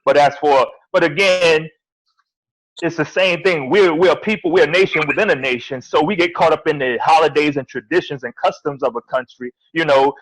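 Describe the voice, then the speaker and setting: A man's voice: a little high, poor audio. A man speaking bluntly on a casual panel discussion, joking and swearing freely.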